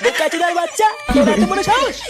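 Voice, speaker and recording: High, rapid, hot-tempered cartoon dad. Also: male voice, very animated, music in the background. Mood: furious. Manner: crude, blustering, scolding. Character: hot-tempered foul-mouthed cartoon father Poltu, fretting and scolding. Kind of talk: comedy cartoon voice acting.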